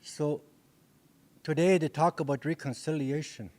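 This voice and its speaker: A man's voice: a little high, fairly even intonation, a little slow, darkish tone. An older man whose first language is Dakota, teaching a language class with a handheld mic in an indoor meeting room, speaking earnestly with frequent restarts and repetitions. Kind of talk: classroom lecture.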